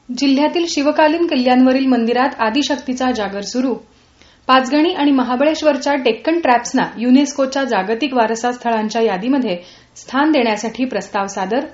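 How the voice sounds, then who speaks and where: A woman reads a scripted regional radio news bulletin in a formal, measured way. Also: high-pitched. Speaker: radio newsreader. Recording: dull band-limited radio audio, roomy echo.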